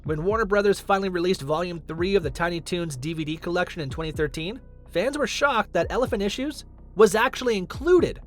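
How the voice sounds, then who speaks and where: A man's voice: high-pitched, lively intonation, a little fast. A man reading scripted countdown narration as the host of a list video. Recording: music under the voice. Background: background music.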